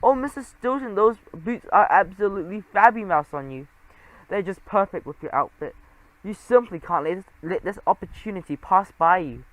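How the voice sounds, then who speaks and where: A man's voice: sits high, expressive, moderate pace, a bit bright, no echo, pitched above the performer's own voice, rough recording. A man voicing a fawning, pushy shop clerk mouse in an audiobook, gushing and insistent. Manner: gushing and insistent sales patter. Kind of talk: audiobook character voice.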